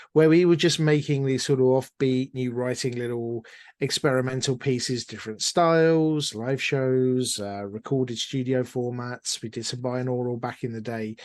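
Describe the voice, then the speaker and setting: A man's voice: mid-range voice, darkish tone, no echo. Wry middle-aged man, dry sound. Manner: wry, self-deprecating, chatty. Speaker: interview guest, audio drama producer. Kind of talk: casual interview storytelling.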